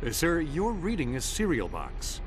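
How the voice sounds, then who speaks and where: An actor playing a slick, earnest TV news anchor in a game cutscene, with a dramatic broadcast delivery. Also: male voice, fairly high pitch, wildly swooping pitch, moderate pace, heavy background noise. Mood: pressing.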